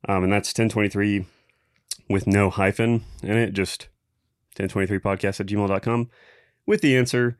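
A man hosting a casual podcast, talking in a relaxed, halting way with many pauses and ums. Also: lowish voice, smooth, dry recording, studio quality. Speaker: podcast host.

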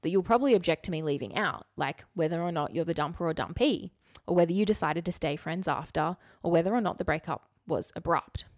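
A woman with a fairly low voice talks casually as a podcast host. Her delivery is wry and candid, slipping between reading and asides, in a dry, close recording. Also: fast, no room sound.

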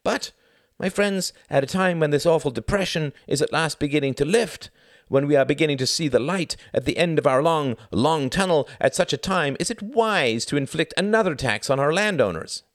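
A man's voice: fairly high pitch, expressive, moderate pace, dry recording, clean studio sound, voice pushed up. A man voicing a nervous bald male politician who speechifies with overwrought desperation. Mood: pleading.

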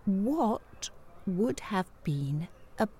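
An actress playing a formal, strained job interviewer in a comic audio play, politely exasperated and clipped. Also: female voice, mid-range voice, sing-song, drawn-out words, dark tone, slight background noise. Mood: incredulous, strained.

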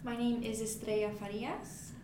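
A woman's voice: on the high side, little pitch movement, normal speed. A young woman co-hosting a student podcast, earnest and slightly scripted in tone. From United States. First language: Spanish. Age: young adult. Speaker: student co-host. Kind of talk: casual podcast chat. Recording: echoey room with noise.

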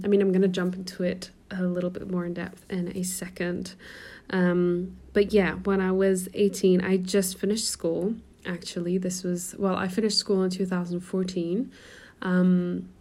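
A woman in her twenties from Germany who grew up in Portugal, speaking with an American-sounding accent. She hosts a solo podcast in a soft, chatty way with many ums, recorded on a phone in a quiet room at home. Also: lowish voice. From Germany, raised in Portugal. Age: 20s. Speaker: podcast host and coach. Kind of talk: casual solo podcast talk.

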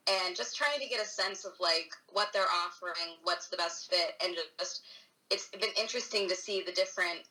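Bright-voiced young American woman. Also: lowish voice, normal speed, poor audio. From United States. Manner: thoughtful, hesitant with many "um"s and "like"s. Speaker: guest YouTuber. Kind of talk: casual interview chat.

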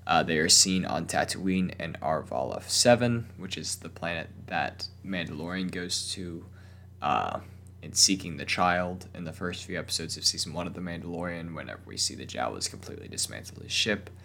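A young man talks casually in a very low, gravelly voice. His voice sounds weak and strained from a lingering cough. He hesitates often and restarts his sentences. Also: lively intonation, moderate pace, bright, forceful tone, a little hiss or hum. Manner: hesitant, many fillers and restarts. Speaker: podcast host. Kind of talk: casual solo talk.

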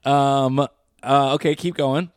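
A man's voice: mid-range voice, steady tone, slow, clear, resonant tone, dry recording, clean studio sound. A man co-hosting a podcast, chatting casually, teasing the guest and laughing often. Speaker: podcast co-host.

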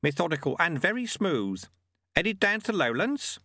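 Elderly monk master for a storybook, done by a male narrator: raised pitch, lilting, cheeky, wry, unflappable. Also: sing-song, normal speed, sharp tone, dry recording, studio quality, exaggerated voice. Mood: brisk, friendly. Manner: wry, folksy, mock-instructive.

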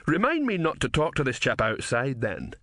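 A mild old philosopher acted out by a male narrator in a storybook. He is wry and unflappable, rambling along with lively swings of pitch in a very dry, close recording. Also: moderate pace, dry recording. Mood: bemused.

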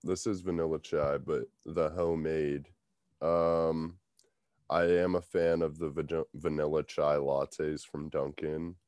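Very deep, slow-talking stuffy young man. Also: flat delivery, a little mellow, no echo. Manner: laid-back, dry humor, short replies. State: stuffy, voice a bit rough. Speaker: podcast co-host. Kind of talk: casual sports podcast chat.

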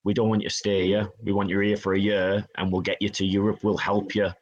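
A man from the of England with a low voice talks casually in an interview. He tells long anecdotes and quotes other people's lines back in dialogue. His tone is easygoing and self-deprecating. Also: completely flat delivery, fairly quick, dull audio. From Northeast England. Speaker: guest, hockey player.

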